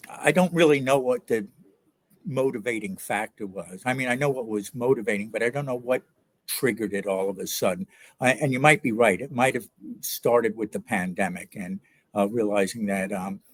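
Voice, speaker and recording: An older man from New York, an author answering interview questions in a reflective, hesitant way with many pauses and fillers. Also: lowish voice, dry recording.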